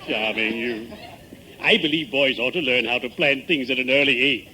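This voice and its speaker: An actor playing a pompous, morbidly genial middle-aged undertaker with genteel, mock-solemn diction in an old-time radio sitcom. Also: male voice, medium pitch, normal speed, sharp tone. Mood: solemn, polite. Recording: noisy vintage 1950s radio broadcast.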